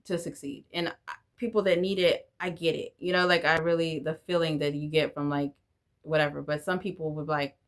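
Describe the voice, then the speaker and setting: A woman's voice: low-pitched, fairly even intonation, brisk. A woman in her forties, a native Spanish speaker, chatting candidly to camera in a rambling vlog. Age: 40s. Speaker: vlogger. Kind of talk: casual talk to camera.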